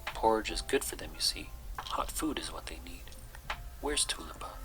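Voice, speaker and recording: A gentle, practical mother troll from a storybook, as a man reads her. She speaks politely and reassuringly in a low, breathy half-whisper. Music is clearly audible underneath. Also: expressive, moderate pace. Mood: calm, practical.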